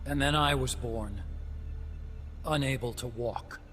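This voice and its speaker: An actor playing a nervous, guilt-ridden young scientist in a game cutscene, explaining quickly and at times slipping into subdued hesitation. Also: male voice, mid-range voice, fairly bright tone. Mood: bitter, subdued. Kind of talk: video game cutscene acting. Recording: music playing underneath.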